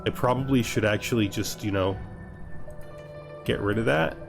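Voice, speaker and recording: A man's voice: on the low side, normal speed, vocal fry, over music. A man giving casual gameplay commentary, reading game text aloud and reacting with dry, offhand humour. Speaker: gaming video host.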